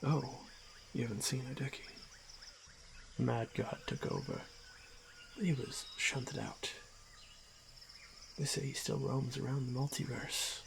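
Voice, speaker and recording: A man playing a stoic, honor-bound orc warrior who is gently protective, speaking in hushed, halting, formal phrases for a fantasy ASMR roleplay. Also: medium pitch, steady tone, gravelly. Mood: measured, explanatory. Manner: hushed, halting, formal speech with frequent pauses. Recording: noisy track with night insect ambience. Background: crickets and insect ambience.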